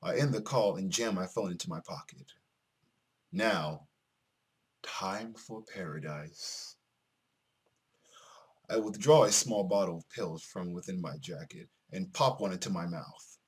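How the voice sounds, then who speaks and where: Cold male killer for a mystery game, done by a male reader: deep, deliberate, sadistic, dramatic narration. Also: husky. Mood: quiet menace. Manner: slow, deliberate, menacing narration. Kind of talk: dramatic reading of visual novel narration.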